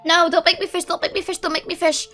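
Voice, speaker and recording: A woman's voice: very high-pitched, little pitch movement, fast, pushed and bright, faint music behind. A woman doing casual gameplay commentary, rambling and reacting in loose slang. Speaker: streamer playing and reacting to a game.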